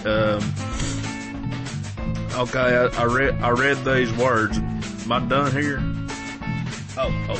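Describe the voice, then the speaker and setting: A man playing a cranky older jailbird with a Southern US accent and folksy drawl, haltingly and grumpily reading credits for a comedy audio drama. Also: medium pitch, steady tone, vocal fry. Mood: confused, grudging. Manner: halting, stumbling over words, grumbling and indignant. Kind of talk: comic in-character credit reading. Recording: country guitar music behind the voice.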